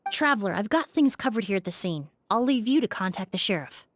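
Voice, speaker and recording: A woman playing a calm, analytical young investigator. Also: medium pitch, slight background noise, close to an everyday voice. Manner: measured and analytical. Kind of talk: video game voice acting.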